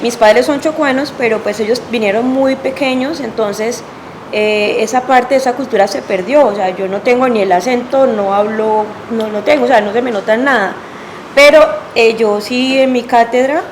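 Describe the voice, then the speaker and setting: Cali woman asking a halting question, kinda high voice, noisy room. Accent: Colombian, from Cali. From Colombia. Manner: hesitant, halting, many restarts. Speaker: audience member asking a question. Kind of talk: question from the audience. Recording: quiet, noisy, off-mic.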